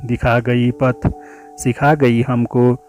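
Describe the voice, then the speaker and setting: A man reciting a poem in a measured, declamatory way, drawing out the ends of lines. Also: medium pitch, little pitch movement, a little mellow, faint music behind. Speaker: poetry reciter. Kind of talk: dramatic Hindi poem recitation.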